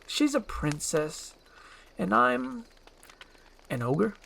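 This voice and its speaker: Woman as male cartoon ogre: bashful, defensive, halting, with big pitch swings. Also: big pitch swings, vocal fry, fairly bright tone, slight background noise, full character voice. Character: awkward, defensive male ogre, secretly lovestruck. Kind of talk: animated film script read aloud.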